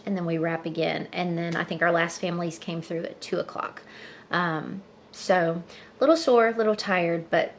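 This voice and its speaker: A woman in her thirties chatting casually to the camera for her daily vlog, her voice a bit low and congested, rambling with fillers. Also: slight background noise. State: slightly husky, congested voice. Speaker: vlogger.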